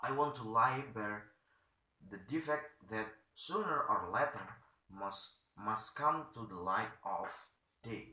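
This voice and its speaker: A man playing an indignant, stubborn middle-aged idealist doctor in a play, defiant, exclamatory and halting. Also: lowish voice, sharp tone, roomy sound, far from the mic, pitched below the performer's own voice, full character voice.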